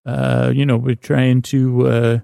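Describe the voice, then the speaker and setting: A man hosting a bedtime podcast with a rambling, absurd comic monologue, halting and often losing his thread, his words a bit slurred and mumbled. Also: mid-range voice, level tone, creaky, mellow, clear, resonant tone, dry recording, studio quality.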